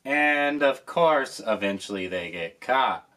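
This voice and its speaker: A man's voice: medium pitch, lively intonation, takes it slowly, heavy background noise. A man hosting a nostalgic commentary, talking about an old show with enthusiasm and emphasis. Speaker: host.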